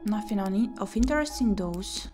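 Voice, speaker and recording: A woman's voice: medium pitch, lively intonation, moderate pace. Girl streaming a visual novel, reads it out n mutters along, game music in the bg. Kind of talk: game playthrough, reading aloud and musing.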